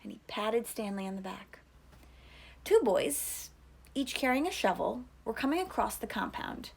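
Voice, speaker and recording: A woman's voice: fairly high pitch, big pitch swings, normal speed, creaky, darkish tone, husky. A woman reading a children's novel aloud as a teacher would.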